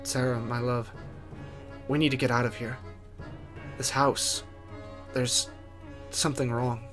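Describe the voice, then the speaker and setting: A man's voice: mid-range voice, little pitch movement, slow pace, over music, natural voice. A man playing a grieving, lovesick widower with an earnest, heavy delivery in a horror audio drama. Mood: worried pleading.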